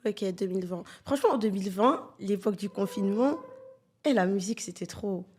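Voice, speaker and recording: A woman's voice: mid-range voice, brisk, a little mellow, slight background noise. A woman of twenty-one hosting her own podcast in casual, playful chat, teasing her guests and laughing easily. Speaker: podcast host.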